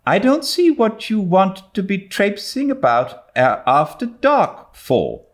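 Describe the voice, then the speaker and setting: A stern older woman from a storybook, voiced by a man, scolding in a raised, animated voice. She is curt and emphatic, with a sarcastic edge and no patience for nonsense. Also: high-pitched, takes it slowly, pitched above the performer's own voice, full character voice.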